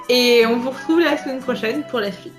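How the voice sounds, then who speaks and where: A woman whose first language is not French, co-hosting a podcast in casual chat, talking freely and laughing often. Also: sits high. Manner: chatty, laughs often, wraps up the show. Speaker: podcast co-host.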